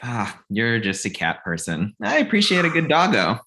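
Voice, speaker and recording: Casting: easygoing male roommate, twenties played by a man, medium-pitched but very expressive, playful and casual. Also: normal speed, exaggerated voice. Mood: teasing. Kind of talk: comedy table read dialogue.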